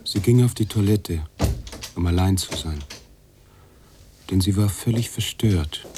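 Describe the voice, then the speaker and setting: An actor playing a weary, brooding writer who narrates a literary radio drama in a musing, halting, husky way. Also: male voice, fairly low pitch, slight background noise, natural voice. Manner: musing, halting, drops into hushed tones. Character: weary, brooding bearded male writer narrating his story.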